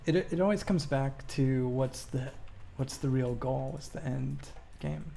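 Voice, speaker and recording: A man streaming a game talks in a soft-edged, mellow voice with noticeable fry. He is reflective and halting, thinking through his answers as he goes. There is light background noise with keyboard typing. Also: mid-range voice. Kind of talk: casual livestream commentary while playing, answering chat questions.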